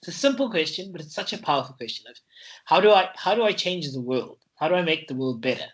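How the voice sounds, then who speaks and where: Podcast host, man, bright voice, meandering hesitant questions. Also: on the high side, expressive, fast, low-quality recording. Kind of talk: podcast interview.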